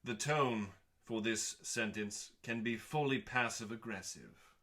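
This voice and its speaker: A man reading scripted comic narration in a mock-dramatic, pompous manner, pausing deliberately for effect. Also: lowish voice, pushed and bright. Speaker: host reading comedic cookbook recipes.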